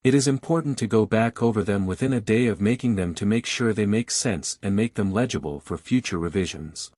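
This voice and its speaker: A man's voice: lowish voice, fairly quick, a little mellow, no room sound. A man reading a short scripted study passage aloud.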